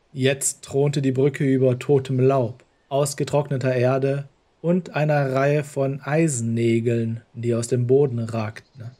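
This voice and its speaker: Mellow male audiobook narrator. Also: mid-range voice. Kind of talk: scripted story narration.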